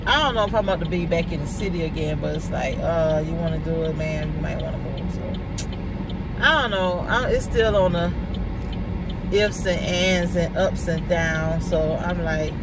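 A woman's voice: lowish voice, moderate pace, far from the mic. Woman rambling from a car. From South Carolina. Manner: chatty, rambling, talks to herself while driving. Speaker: vlogger. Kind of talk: casual car vlog. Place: inside a car, driving. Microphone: phone mounted on the dashboard. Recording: phone in a car with loud road noise.

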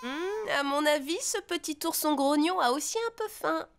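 A woman voicing a gentle, soothing mother bear in a preschool cartoon, tender, playful and reassuring. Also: high voice, animated, moderate pace, background noise. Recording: loud music behind the voice.

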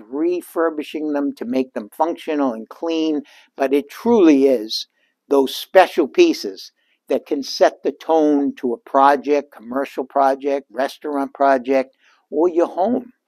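A man's voice: fairly high pitch, darkish tone, dry recording. Older man giving an upbeat showroom tour. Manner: enthusiastic salesman patter, addresses viewers directly. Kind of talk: walking showroom tour presentation. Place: large indoor furniture showroom. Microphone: lapel mic.

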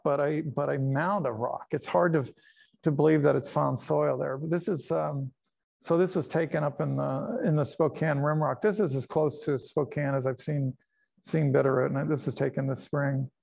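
A man's voice: fairly high pitch, fairly even intonation, normal speed, vocal fry, darkish tone. A middle-aged man who grew up in Panama, giving a casual slide talk about his nature photos, rambling with fillers, restarts and chuckles.

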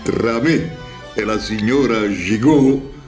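A man's voice: on the low side, slow pace, music in the background. A man playing a naive, jovial, tipsy guest in a radio play, theatrical and hesitant. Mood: tipsy, confiding. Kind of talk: radio play acting read from script. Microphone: studio mic on boom at desk.

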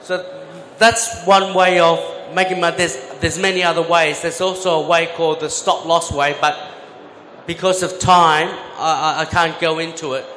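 A man's voice: fairly high pitch, flat delivery, moderate pace, bright, forceful tone, slight background noise. A middle-aged man giving a live seminar talk through a handheld mic, explaining trades with examples and correcting himself as he goes. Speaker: conference speaker, former bank trader. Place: large expo hall stage. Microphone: handheld microphone. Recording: echoey hall with faint ambience.

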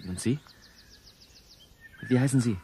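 An actor playing a polite, inquisitive newspaper reporter, conversational and probing, in a radio drama. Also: male voice, mid-range voice, takes it slowly, warm tone, a little hiss or hum, close to an everyday voice. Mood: curious, polite.

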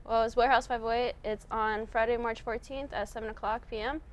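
Young woman, higher voice, casual and filler-heavy, TV studio guest. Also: steady tone, a little fast, slight background noise. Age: young adult. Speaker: youth film festival coordinator. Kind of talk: studio TV interview. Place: TV studio set, indoors.

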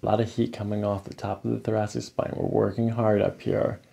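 A man in his thirties with a low, creaky voice talks like a clinician at work. He goes step by step, checking in with questions and explaining the exam as he does it. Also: flat delivery, brisk, dark tone. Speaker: chiropractor. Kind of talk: clinical patient consultation and exam commentary. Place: small carpeted chiropractic clinic room and hallway.

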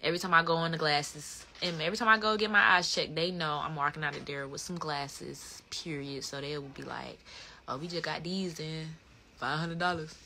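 A young American woman with a bright, slightly low voice chats on a livestream. She is slangy, playful and animated, talking close to a phone indoors with faint noise behind her. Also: fairly quick. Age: 20s. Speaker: livestream host. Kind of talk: casual livestream chat. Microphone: phone at close range.

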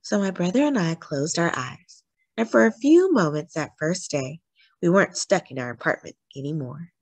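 A woman reading a children's picture book aloud expressively, with dramatic, deliberate phrasing. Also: lowish voice, big pitch swings, a little mellow, no room sound. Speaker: story reader, host of a read-aloud channel.

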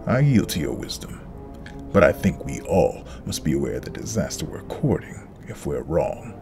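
A man playing a grave, stern council master, formal and measured, in a sci-fi audio drama. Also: very deep voice, sing-song, creaky, music in the background, full character voice. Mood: grave, doubtful. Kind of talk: fan audio drama acting.

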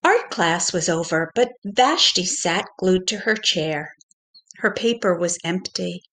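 Slow-paced middle-aged woman reading to children. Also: fairly low pitch. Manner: deliberate, expressive, addressing young children. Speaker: pre-K teacher reading to children. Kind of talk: children's storybook read-aloud.

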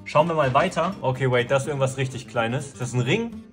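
A man in his twenties chatting casually to camera as a vlogger, in an animated, slangy way. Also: medium pitch, fairly quick, faint music behind. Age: 20s.

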